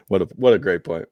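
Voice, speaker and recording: Low-voiced man chatting casually about sports. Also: no room sound, muffled recording. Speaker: podcast co-host. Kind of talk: casual sports chat, match recap.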